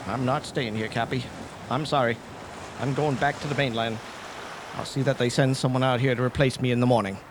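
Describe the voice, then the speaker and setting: An actor playing a frightened lighthouse keeper in a radio drama, halting and stammering when afraid. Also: male voice, medium pitch, fairly even intonation, lightly acted. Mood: resolute. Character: frightened male lighthouse keeper, anxious and insistent. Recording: noisy cassette radio drama with sound effects.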